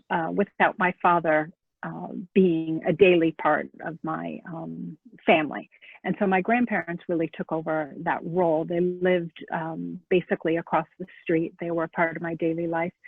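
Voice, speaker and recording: A woman in her thirties, originally from Florida, speaking softly and hesitantly with many pauses as an interview guest on a video call. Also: lowish voice, gravelly, slightly rough, dry recording. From Florida, USA. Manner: soft-spoken, hesitant, with many ums and pauses. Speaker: artist and guest. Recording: narrow phone-like call audio.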